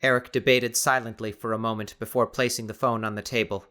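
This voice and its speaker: A man narrating scripted dramatic fiction as an audiobook reader, in a measured, descriptive manner. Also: fairly low pitch, steady tone, bright, forceful tone.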